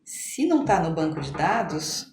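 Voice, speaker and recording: A woman with a Brazilian accent explaining things conversationally as an interview guest, in a halting, emphatic way like a medical professional. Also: low-pitched, very animated, darkish tone. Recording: echoey room, faint background noise.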